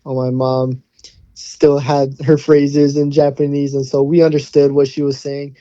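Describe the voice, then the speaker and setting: Young man from hawaii, podcast guest, very mellow dark tone, flat delivery, dull dry sound. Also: a little high, a little slow, no room sound. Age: young adult. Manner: easygoing, many fillers and restarts. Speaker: guest, college football player. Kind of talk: casual interview answers.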